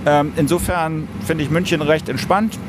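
A man, an airline pilot, giving thoughtful, measured explanations in an interview. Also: on the high side, fairly even intonation. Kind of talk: interview answers, explanatory chat. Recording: clear vehicle-like ambient noise.

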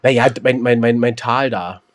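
A man's voice: medium pitch, moderate pace. A man co-hosting a joking podcast, trading dry, teasing banter. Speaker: podcast co-host. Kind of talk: joking casual chat.

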